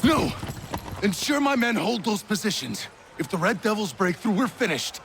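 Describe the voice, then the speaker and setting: An actor playing a gruff, stern older militia commander in a video game, brusque and scornful, with a gravelly voice. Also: male voice, high voice, sing-song, moderate pace, background noise, heavily acted. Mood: urgent, commanding. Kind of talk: video game cutscene acting.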